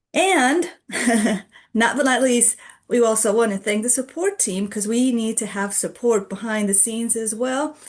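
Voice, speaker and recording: A woman hosting an online event, announcing the program and thanking helpers in a measured way over a video call. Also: on the high side. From Costa Rica. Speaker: trainer and co-host.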